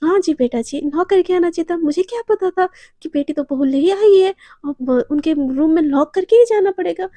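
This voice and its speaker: A woman's voice: extremely high pitch, moderate pace, hardly any pauses, warm tone, no room sound, voice pushed up. A woman voicing a middle-aged mother who sarcastically scolds her daughter while fussing over a sick guest. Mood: scolding.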